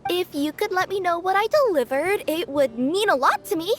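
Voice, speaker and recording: A woman's voice: very high voice, expressive, normal speed, a little hiss or hum. A woman voicing an earnest, polite young cat-girl courier with an eager, easily flustered manner in a video game. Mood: nervous, flustered. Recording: faint music behind.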